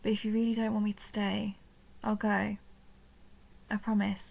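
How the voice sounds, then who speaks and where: Woman playing a creaky, breathy, tender vampire countess. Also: medium pitch, little pitch movement, normal speed, poor audio. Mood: tender, possessive. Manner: soft, breathy, often near a whisper, coaxing. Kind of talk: intimate roleplay audio drama, addressed to the listener.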